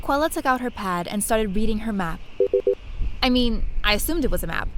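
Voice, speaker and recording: A woman's voice: on the high side, lively intonation, fairly quick, fairly bright tone, a little hiss or hum. An actress playing a chatty, curious young woman stowaway who narrates and talks through her space adventure in an audio drama. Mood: wistful narration. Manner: chatty, breezy, eager. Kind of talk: first-person audio drama narration and dialogue.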